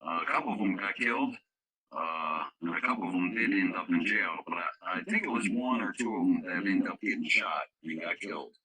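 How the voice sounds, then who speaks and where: A man with a deep voice and a sharp, forceful edge chats casually over the radio. He rambles through anecdotes in a plain, matter-of-fact manner. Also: fairly quick, poor audio. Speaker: radio club participant.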